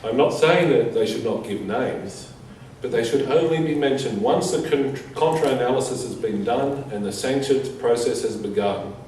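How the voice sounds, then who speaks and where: Guy reading out a statement at a conference, mellow voice, super echoey and off mic. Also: medium pitch, normal speed. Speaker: conference speaker. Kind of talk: reading a prepared statement aloud. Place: reverberant conference room. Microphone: distant from the speaker. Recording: echoey room, distant mic, faint noise.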